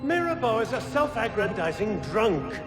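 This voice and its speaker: An actor playing a contemptuous, decisive conspirator in a video game, giving curt orders. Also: male voice, sits high, very animated, normal speed. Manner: curt, commanding. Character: scheming, commanding Templar conspirator, contemptuous and decisive. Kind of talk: video game cutscene acting. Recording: echoey room, loud music behind.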